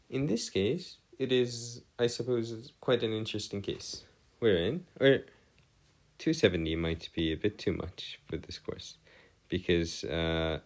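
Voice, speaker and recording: Deep-voiced man tutoring in formal, halting speech. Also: darkish tone. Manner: formal, bookish wording. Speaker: math tutor. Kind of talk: improvised lesson explanation on trigonometry.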